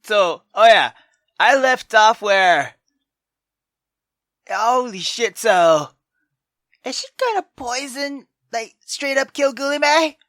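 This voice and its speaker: Male let's player, informal and expressive, unhurried delivery with lively pitch, reading a story game aloud. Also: no room sound, clean studio sound. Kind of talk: reading a visual novel aloud with reactions.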